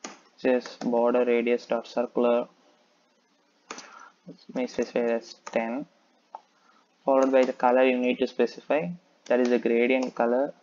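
A man's voice: medium pitch, completely flat delivery, normal speed, pauses often, a little mellow, dull audio, a little hiss or hum. A man talking through a coding tutorial, explaining step by step and thinking aloud with frequent "okay". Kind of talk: screen-recorded coding tutorial.

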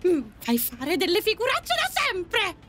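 A woman's voice: very high-pitched, wildly swooping pitch, unhurried, fairly bright tone, full character voice. A woman acting a melodramatic lady ghost in an audio drama, by turns scolding and tender.

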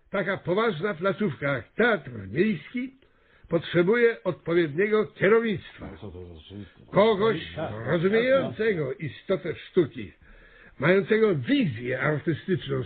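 A man playing a pompous, scheming small-town mayor in a radio comedy, speechifying with hesitant pauses. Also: high voice, vocal fry, husky, narrow, tinny audio, exaggerated voice. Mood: pompous, official. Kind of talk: radio comedy drama acting.

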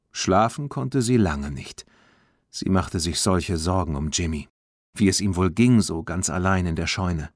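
A man reading a children's audiobook as an expressive, clearly enunciated scripted narration. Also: low voice, no echo, studio quality.

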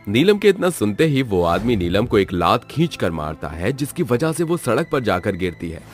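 A man's voice: fairly low pitch, big pitch swings, normal speed, soft background music. A man giving scripted narration as the storyteller of a horror tale.

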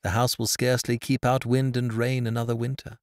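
A man voicing a kind young wife who speaks low and softly. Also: fairly even intonation, slow, creaky, no room sound, clean studio sound. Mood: tender. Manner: low, soft and tender.

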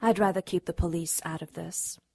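Seductive mystery woman, husky, slightly low voice, formal, measured, commanding, noir radio drama. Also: fairly even intonation, warm tone. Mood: cool, guarded. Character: mysterious, self-assured seductive female client, regal and discreet.

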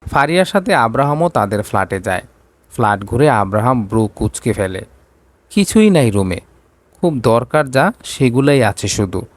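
A man's voice: medium pitch, sing-song, normal speed, no echo. A man narrating a scripted romantic drama story.

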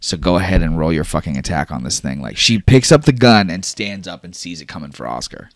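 A man's voice: sits low, expressive, brisk, no room sound, clean studio sound. A man improvising horror narration as a tabletop game master, casual, emphatic and swearing freely. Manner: casual, profanity-laden, emphatic.

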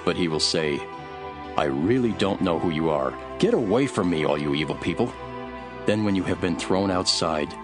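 Solemn male teacher-prophet, low voice, very varied intonation, earnest preaching, scripture drama. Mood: stern teaching. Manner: measured, emphatic, preaching. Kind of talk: dramatized scripture reading over music. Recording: music behind the voice.

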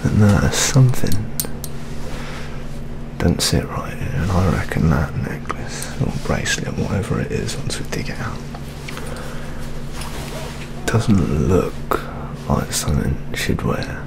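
A young man playing a brooding British police detective in an ASMR roleplay, with an English accent and a breathy, half-whispered, musing delivery. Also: low-pitched, full character voice. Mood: musing, hopeful. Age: young adult. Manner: slow musing, repeating himself, colloquial. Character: brooding, gruff British male police detective at crime scene. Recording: clear steady background noise.

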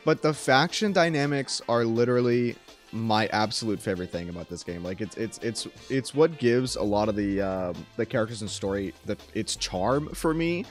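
A man's voice: lowish voice, expressive, moderate pace, faint music behind. A young man streamer giving casual, slangy, enthusiastic reaction commentary into a close desk microphone. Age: young adult. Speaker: streamer reacting to game trailers. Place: small room at home.

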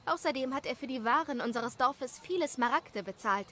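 A woman's voice: high voice, animated, normal speed, a bit bright, a little hiss or hum, pitched above the performer's own voice. A performer voicing a sensible, firm village woman in a story, matter-of-fact and reproving.

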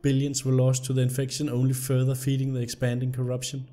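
A young man, slightly hoarse from illness, commenting on a game and reading its story text aloud. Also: mid-range voice, fast, faint music behind. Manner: reads the story text aloud in an even narrating tone. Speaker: gameplay video host. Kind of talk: casual gameplay commentary.

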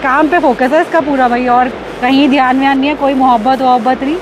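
A woman's voice: high voice, steady tone, fast talker, lo-fi sound, background noise. A woman chatting casually and playfully in an informal, teasing way, as a travel vlogger. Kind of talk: casual, playful vlog chat. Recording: outdoor vlog with music underneath.

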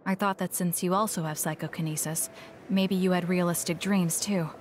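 A woman's voice: lowish voice, fairly even intonation, normal speed, slight background noise, lightly acted. An actress playing an aloof young female soldier in an anime-style video game, curt and matter-of-fact. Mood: reflective.

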